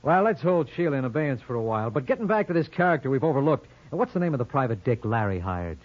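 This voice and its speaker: An actor playing a wisecracking, cocky lawyer-sleuth in 1950s radio drama style, glib, slangy and teasing. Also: male voice, mid-range voice, very animated, fast talker, natural voice. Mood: probing, insistent. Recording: muffled vintage radio broadcast with music.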